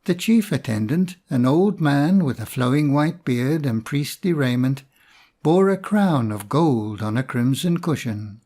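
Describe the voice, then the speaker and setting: A man reading a storybook fairy tale in a measured, expressive storytelling manner. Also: mid-range voice. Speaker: storybook narrator.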